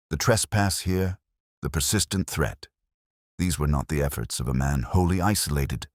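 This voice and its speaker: Man playing erudite, commanding detective for audiobook: very deep, pompous, formal, deliberate phrasing with wide swings. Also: slightly rough, no room sound, clean studio sound, heavily acted. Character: ponderous, commanding, erudite male genius detective.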